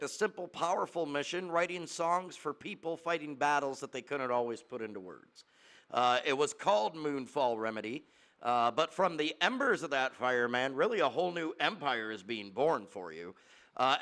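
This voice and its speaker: A man's voice: fairly high pitch, vocal fry, sharp tone. A man hosting a casual podcast interview, hyping up his guest and filling his talk with "uh" and "man". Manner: hype introductions with many fillers and "man". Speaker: podcast host.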